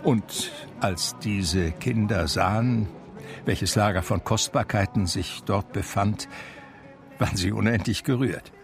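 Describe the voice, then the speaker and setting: An actor playing an aging man who recounts his memoir in a measured, reflective literary manner for a radio drama. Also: on the low side, vocal fry, music in the background. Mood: reminiscing. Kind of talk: literary first-person radio drama narration.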